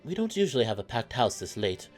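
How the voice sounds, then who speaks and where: A man voicing a gruff but hospitable animal innkeeper in a fantasy story. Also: mid-range voice, very animated, fast talker, faint music behind, voice pushed up. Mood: firm, inviting.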